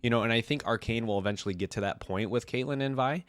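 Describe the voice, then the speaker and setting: A man's voice: medium pitch, quick pace, a bit bright, smooth, dry recording. A man with a cold, rambling casually as he hosts a reaction video. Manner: rambling, full of fillers. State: congested with a cold.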